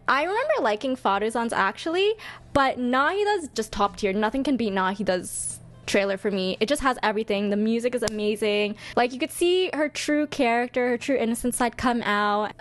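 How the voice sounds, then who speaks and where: A woman giving casual live-stream reaction commentary in an expressive, gushing, slangy fan style. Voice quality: high-pitched voice. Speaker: streamer hosting a ranking of game character trailers.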